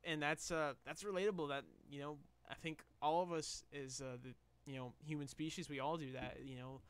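Slightly hoarse young man, chatty. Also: fairly high pitch, fairly even intonation, fairly quick. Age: young adult. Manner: chatty, rambling, with restarts. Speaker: music reaction YouTuber. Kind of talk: casual reaction commentary. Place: small bedroom. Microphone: headset.

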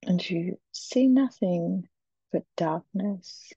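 A woman leading a guided meditation in a soft, breathy, soothing voice, with short phrases and long pauses. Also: on the low side, no echo. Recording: dull, muffled, dry recording.

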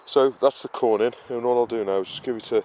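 A man giving a casual, explanatory gardening talk in a halting, unscripted way, as a vlogger would. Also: mid-range voice, darkish tone. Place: outdoor vegetable garden and greenhouse. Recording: clearly noisy outdoor ambience, uneven distance.

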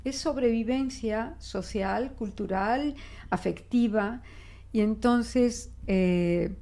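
An older woman from Spain with a Spanish accent softened by Mexican Spanish, a linguist talking expressively in a studio interview at a desk microphone. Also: on the high side, takes it slowly, gravelly. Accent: Spanish from Spain, adapted toward Mexican Spanish. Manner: expressive, reflective, with frequent restarts and gestures. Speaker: guest linguist. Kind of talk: conversational interview. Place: small studio office, indoors.

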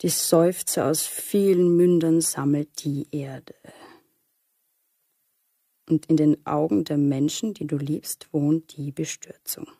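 Low, slow, grave female reciter. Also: steady tone, warm tone, slightly rough, dry recording, clean studio sound, full character voice. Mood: grave, melancholy. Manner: measured, grave. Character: grave, melancholy female reciter of admonishing verse. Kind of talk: solemn poetry recitation in radio drama.

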